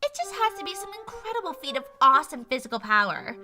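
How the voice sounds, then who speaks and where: High, theatrical, boastful magician pony. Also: female voice, very animated, quick pace, pushed and bright, faint music behind, heavily acted. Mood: overconfident. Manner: haughty, melodramatic, speaks of herself in third person. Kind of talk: animated cartoon-style fan audio drama.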